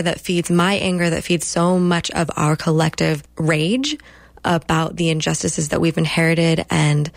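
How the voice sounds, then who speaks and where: A woman radio host interviewing a guest in a deliberate, appreciative way. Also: on the low side, vocal fry, dry recording.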